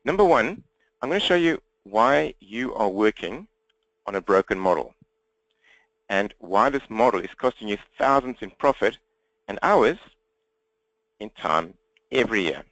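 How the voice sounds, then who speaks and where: Man, South African accent, slightly croaky, energetic webinar presenter, dry close sound. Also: on the low side, dry recording, poor audio. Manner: energetic, talks fast, direct and upbeat. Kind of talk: interactive webinar training. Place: indoors at his computer, standing.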